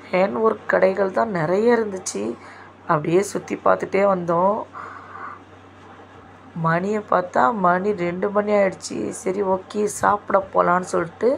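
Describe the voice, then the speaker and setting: Female vlogger, low-pitched, eager and talkative; light background hum. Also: creaky. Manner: chatty, enthusiastic, repeats "super super". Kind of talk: casual shopping vlog narration.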